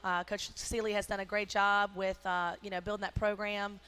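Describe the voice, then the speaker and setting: A middle-aged woman, a basketball coach, talking in a postgame interview on a headset mic at courtside, energetic and emphatic with many fillers. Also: mid-range voice, normal speed, clear, resonant tone. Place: courtside in an indoor arena.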